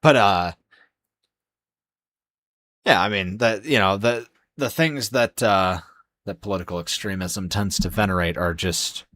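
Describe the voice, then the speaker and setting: Casting: young adult male streamer, hoarse and sleepy, very low voice, dry close sound, rambling delivery. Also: a little slow, pauses often, a bit bright, no room sound. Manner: rambling, many fillers and restarts. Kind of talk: casual live-stream chat.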